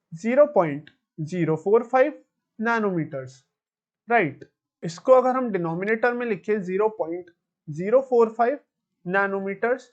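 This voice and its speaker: A man's voice: high-pitched, lo-fi sound. A man with an Indian accent explaining step by step as a physics teacher, addressing students directly and mixing Hindi with English.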